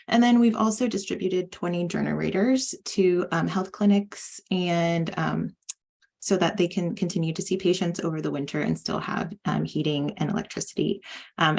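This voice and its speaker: A woman's voice: fairly low pitch, creaky, a little mellow. A woman on a panel explaining relief programs, with frequent fillers as she goes. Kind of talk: panel talk.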